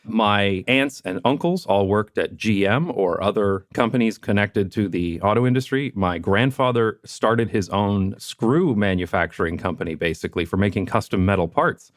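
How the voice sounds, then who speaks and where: A man's voice: fairly low pitch, moderate pace, hardly any pauses, no echo, studio quality. A man from Canada, hosting a podcast in an easygoing, casual way. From southwestern Ontario, Canada. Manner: easygoing, tag questions like "right?". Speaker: podcast host.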